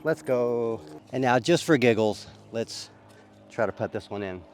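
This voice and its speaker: A man's voice: mid-range voice, measured pace, over music. A man with a sore throat from a cold, chatting casually as host of a disc golf vlog on an outdoor course. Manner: easygoing, chatty, frequent uhs.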